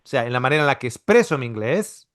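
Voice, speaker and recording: A man's voice: medium pitch, expressive, quick pace, no echo, clean studio sound. A middle-aged man from Spain teaching a Bible seminar in a conversational, explanatory way, full of restarts and rhetorical questions. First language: Spanish. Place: Australia.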